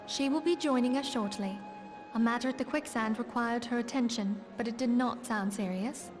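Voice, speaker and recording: An actress playing a composed, gracious diplomat in a fantasy video game, with a poised and formal delivery. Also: female voice, on the high side, normal speed, music in the background, close to an everyday voice. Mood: calm. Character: composed, gracious female diplomat and leader's adviser. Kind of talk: measured fantasy game voice acting.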